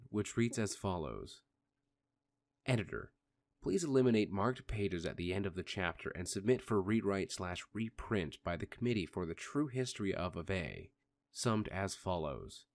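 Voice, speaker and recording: Deep-voiced man narrating a radio play. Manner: measured storytelling. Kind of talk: scripted narration.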